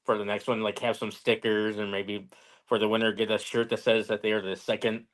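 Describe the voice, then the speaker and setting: A man's voice: lowish voice, flat delivery, a little fast. A man as an interview guest, chatting casually and telling stories in a plain-spoken way with short simple answers. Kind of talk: casual storytelling chat.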